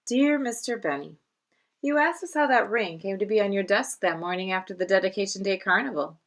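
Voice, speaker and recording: A woman's voice: medium pitch, brisk, natural voice. A woman as a chatty, confiding fifth-grade student. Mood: earnest, confiding.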